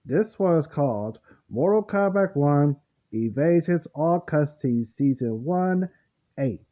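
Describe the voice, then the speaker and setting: A man's voice: fairly high pitch, expressive, takes it slowly. A man hosting a reaction video, commenting casually in a loose, rambling way and often repeating himself. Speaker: host of a reaction channel. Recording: muffled, phone-like narrow sound.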